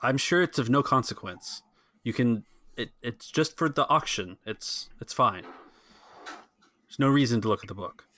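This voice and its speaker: A man's voice: mid-range voice, lively intonation, moderate pace, sharp tone, no room sound. A man voicing a stoic, suspicious gallery master in a tabletop game, curt and questioning. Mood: dismissive, uneasy.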